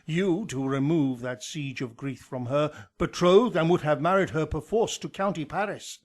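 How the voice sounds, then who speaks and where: An actor playing an anguished old friar, declaiming Shakespearean verse in a radio drama. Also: male voice, a little high, natural voice. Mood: grave, anguished. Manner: declamatory, measured, dramatic swells and drops.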